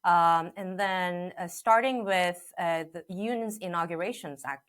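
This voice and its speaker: A woman from South Korea, a journalist answering interview questions in a relaxed way with many fillers, over a video call. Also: on the low side, unhurried. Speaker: journalist guest.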